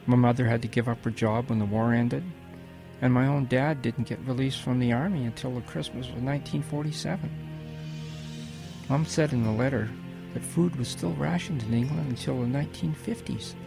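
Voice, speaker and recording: A man playing a wistful old grandfather in an audio drama, telling his wartime boyhood in a gentle, reflective way with a husky voice. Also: mid-range voice, normal speed, music in the background, natural voice.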